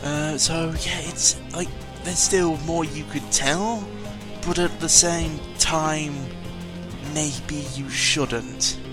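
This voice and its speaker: A man's voice: a little high, steady tone, very slow, music in the background. A man talking casually in a rambling, hesitant audio log, grumbling and giving blunt opinions. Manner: hesitant, meandering, opinionated grumbling with mild swearing. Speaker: gaming vlogger.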